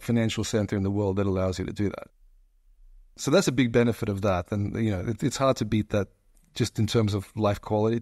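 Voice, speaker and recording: A man's voice: fairly low pitch, darkish tone, no echo, studio quality. A man in his forties with an Australian accent, chatting as an interview guest, long-winded with many restarts. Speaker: guest, investor. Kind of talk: casual interview chat.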